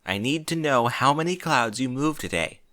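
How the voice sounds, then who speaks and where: A man's voice: medium pitch, expressive, normal speed, a bit bright, voice pushed up, natural voice. A man voicing an earnest, bossy young female unicorn pony with an urgent, commanding manner, in a story reading. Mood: stern.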